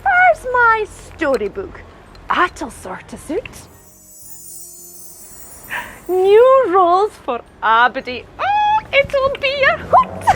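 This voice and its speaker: An excitable pantomime fairy godmother with a very high, swooping voice. She has a Doric accent from North-East Scotland and delivers rhymes slowly and theatrically, as if to children. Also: exaggerated voice. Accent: North-East Scottish Doric. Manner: exaggerated, theatrical, addressing children. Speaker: pantomime performer. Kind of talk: rhyming pantomime monologue.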